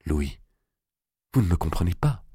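A man reading an audiobook, voicing a pious, gentle young queen who speaks softly and with quiet firmness. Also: low voice, big pitch swings, moderate pace, breathy edge, dry recording, clean studio sound, heavily acted. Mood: hushed, firm. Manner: soft, hushed, restrained.

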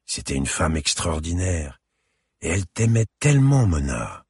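A man playing a gentle, plain-spoken father. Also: sits low, very animated, slightly rough, dry recording, clean studio sound, full character voice. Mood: tender. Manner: plain-spoken, tender, halting. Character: gentle, awkward father comforting his daughter.

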